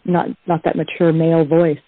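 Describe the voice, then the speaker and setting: A woman's voice: deep voice, little pitch movement, normal speed, no room sound, narrow, tinny audio. A woman who acts, talking casually and explaining earnestly in a panel discussion over thin call audio. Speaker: voice actor and cast member.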